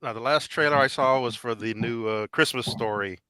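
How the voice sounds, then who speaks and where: Bright-voiced man, dry close sound. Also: mid-range voice, brisk, clean tone, no room sound, rough recording. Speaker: podcast panelist. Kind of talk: casual chat.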